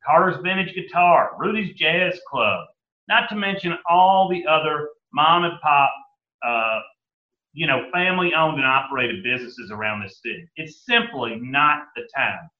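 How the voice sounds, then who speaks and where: Emphatic restaurant-owner guest, echoey and muffled. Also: male voice, fairly high pitch, animated, moderate pace. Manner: emphatic, argumentative, with frequent fillers. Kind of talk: interview discussion.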